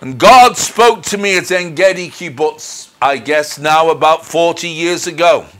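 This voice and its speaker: A middle-aged man preaches his personal testimony in a fairly high voice for a man. He speaks very slowly and deliberately, with earnest, measured phrasing. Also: fairly bright tone. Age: middle-aged or older. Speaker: minister. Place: indoors in front of a dark curtain. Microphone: lapel mic.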